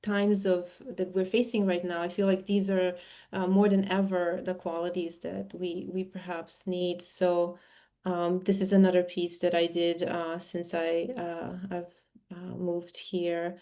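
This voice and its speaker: A woman from Serbia presenting her own art in a talk, speaking in a searching way with frequent pauses and self-interruptions. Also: fairly low pitch, monotone, mellow. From Serbia, former Yugoslavia. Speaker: artist, interviewee.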